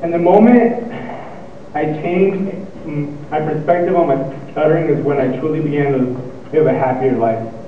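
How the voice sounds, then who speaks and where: Student speaker, young man, stuttering delivery, slightly high voice, heard from far off in a reverberant hall. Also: slight background noise. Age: young adult. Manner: stuttering, pausing and repeating words. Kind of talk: motivational speech. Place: lecture hall. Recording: echoey hall, tinny and far off.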